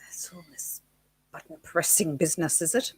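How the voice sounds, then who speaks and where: A woman, co-hosting a casual live chat in a soft, slightly husky voice, close to the mic and often giggling and teasing. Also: low voice, moderate pace, in a whisper. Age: 60s. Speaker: co-host.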